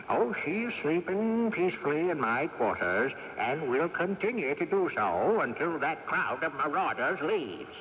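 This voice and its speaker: A man's voice: on the high side, big pitch swings, measured pace, a bit bright, muffled recording. A crotchety old ghost from a radio mystery, sly and stubborn. His delivery swoops theatrically, and it rings in long reverb under faint tape noise. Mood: smug, teasing. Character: cantankerous, sly old ghost of a great-grandfather. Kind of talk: radio mystery drama acting. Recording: off-air 1980s radio tape, reverberant, hissy.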